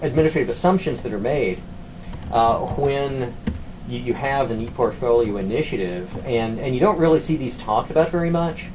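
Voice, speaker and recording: A man talking through administrative matters on a conference call, with hesitant, measured phrasing, like a university academic. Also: medium pitch, animated. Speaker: university academic, former program director. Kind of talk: work discussion on a conference call. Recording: conference call with clear background noise.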